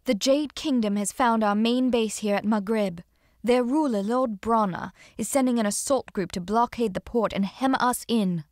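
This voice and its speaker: A woman's voice: a little high, moderate pace, a little mellow, no echo, studio quality, close to an everyday voice. An actress playing a composed female pirate strategist in a video game, speaking with poised, controlled authority. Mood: composed, commanding. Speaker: video game character giving strategic briefings. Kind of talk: dramatic game cutscene acting.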